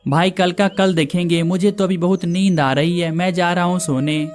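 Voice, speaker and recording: A man's voice: high voice, steady tone, moderate pace, soft background music, close to an everyday voice. A man playing a lazy, scheming young village son in a cartoon story, with plaintive scripted delivery. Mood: disgruntled.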